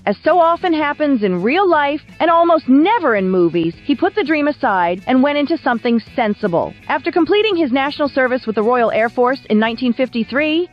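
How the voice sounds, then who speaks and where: Woman narrator, scripted documentary, animated melody, wry storytelling, slightly lo-fi sound, music underneath. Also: fairly high pitch. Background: background music.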